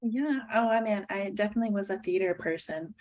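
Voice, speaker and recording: A woman with a very quiet voice, a filmmaker guest talking candidly in a halting way with many fillers. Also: mid-range voice. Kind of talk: casual remote interview.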